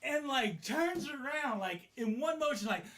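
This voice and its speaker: A middle-aged American man with a notably high-pitched voice tells a casual story. He rambles through it with salty language, acting bits out in an animated, comic manner. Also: a little slow, background noise. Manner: profane, animated, acts out his remarks. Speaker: storyteller, host.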